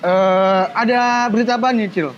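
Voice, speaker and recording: A man hosting a podcast in casual, excited, slangy chat, with a sore mouth. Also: high-pitched, normal speed, highs cut off, soft background music. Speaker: podcast host.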